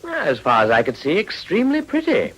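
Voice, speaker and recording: A man playing a bored, witty upper-class gentleman in a period radio drama, dry and urbane. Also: high voice, wildly swooping pitch, fairly quick, slightly rough, heavily acted, rough recording.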